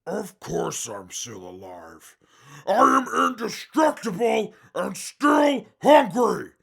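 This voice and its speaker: Huge mutant brute for a radio drama: very gravelly, slow, indignant and formal. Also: male voice, sits high, very animated, heavily acted. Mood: gruff, grumbling. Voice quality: gravelly, forceful. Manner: formal, blustering, indignant speech. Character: big green indestructible mutant brute, pompous, short-tempered, hungry. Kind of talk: post-apocalyptic audio drama acting.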